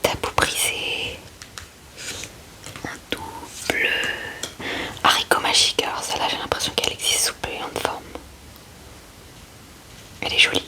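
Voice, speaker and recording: A woman whispers breathily and gently into a close microphone, murmuring short informal remarks as ASMR commentary. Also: unhurried, long pauses, rough recording. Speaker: ASMR video creator.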